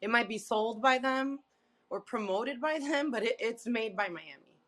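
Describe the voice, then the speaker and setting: A woman's voice: on the high side, expressive, moderate pace, fairly bright tone. Upbeat young woman guest laughing along. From Massachusetts. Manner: upbeat, laughs often, emphatic. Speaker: singer-songwriter guest. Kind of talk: casual chat.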